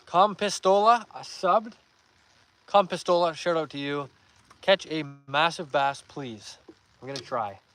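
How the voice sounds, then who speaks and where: A young man hosting a live fishing stream outdoors, reading viewer comments aloud and giving upbeat shout-outs in a relaxed voice. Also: fairly high pitch, unhurried. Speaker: fishing livestream host.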